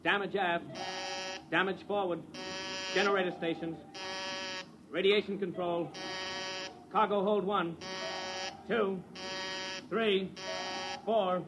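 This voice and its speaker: An actor playing an obedient male crewman, giving a measured roll call in 1950s radio drama style. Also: high voice, fairly even intonation. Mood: dutiful. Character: obedient male crewman calling stations back. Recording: dull vintage radio recording.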